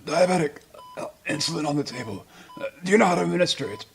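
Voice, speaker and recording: A man playing an ailing, proud old politician in a radio drama, speaking in formal, pompous, measured phrases. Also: a little high, gravelly, faint music behind. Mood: gasping, urgent.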